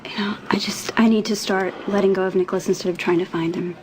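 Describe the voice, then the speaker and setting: An actress playing an emotional, grieving young heiress in a soap opera, earnest and halting. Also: female voice, mid-range voice, steady tone, a little hiss or hum, natural voice, rough recording. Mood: sad, resigned. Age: young adult. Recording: loud music behind dialogue. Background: music.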